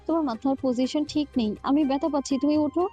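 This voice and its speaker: A woman acting a high-pitched, feisty young woman. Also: quick pace, mellow, muffled recording, soft background music, close to an everyday voice. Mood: flustered, indignant. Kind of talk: dramatized romance audio story.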